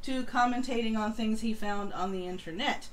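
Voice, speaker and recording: A woman's voice: a little high, moderate pace. A woman hosting a casual live stream, reading announcements and chatting informally. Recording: noisy home livestream audio.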